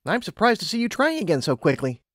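A man plays a wise, sympathetic unicorn mare from a cartoon storybook. The pitch is raised well above a man's talk. The manner is calm, patient and knowing, and the sound is dry. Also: fast talker, dry recording. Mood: encouraging.

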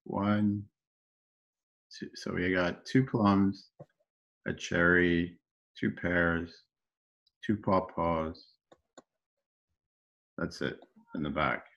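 A man's voice: sits low, fairly even intonation, very slow, gravelly, long pauses, fairly bright tone. A man co-hosting from across the room, making brief offhand remarks. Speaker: co-host helping in the background. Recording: distant and muffled, off-mic.

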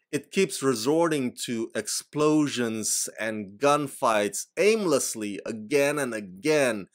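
A man's voice: mid-range voice, a bit bright. An Indonesian man in his forties, whose first language is Indonesian, delivers a scripted movie review straight to camera. His delivery is blunt and emphatic, with a mid-range voice, and he speaks close to a desk microphone in a small room. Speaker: film reviewer. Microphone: desk microphone in front of him.

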